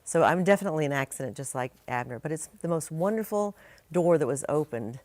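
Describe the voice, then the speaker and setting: A woman's voice: sits low, lively intonation, quick pace, a little mellow, no echo. An older woman, a longtime news anchor, chatting and telling stories in an interview. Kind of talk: casual interview talk.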